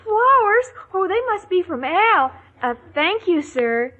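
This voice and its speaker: A woman playing a naive, sweetly excitable young bride-to-be who takes everything literally, in a 1940s radio sitcom. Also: very high-pitched, measured pace, exaggerated voice. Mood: sweet, excited. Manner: earnest, guileless, literal-minded. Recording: dull 1940s radio recording, studio audience.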